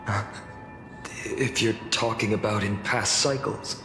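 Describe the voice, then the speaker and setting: An actor playing a calm, weary young male hero with a measured, wistful manner, in an anime-style video game. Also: lowish voice. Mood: questioning. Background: game music.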